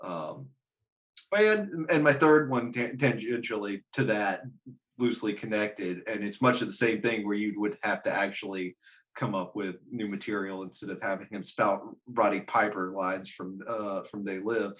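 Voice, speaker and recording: A man's voice: fairly low pitch, moderate pace, fairly bright tone. A man chatting casually about pop culture in a rambling, sweary, self-mocking way. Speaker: podcast panelist. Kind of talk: casual chat about games and films.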